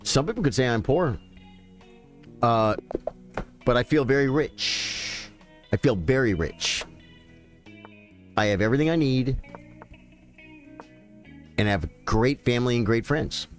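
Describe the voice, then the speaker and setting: Streamer, male, late 50s, folksy and talkative, mid-pitched, faint music underneath. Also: lively intonation, measured pace, pauses often. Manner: chatty, folksy, talks straight to viewers. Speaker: chess streamer. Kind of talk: casual live-stream chat.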